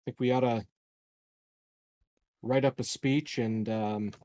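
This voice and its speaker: A man's voice: mid-range voice, fairly even intonation, slow pace, warm tone, no room sound. A man playing a tabletop game, talking casually and hesitantly as he thinks a plan out aloud. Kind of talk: casual in-game planning talk.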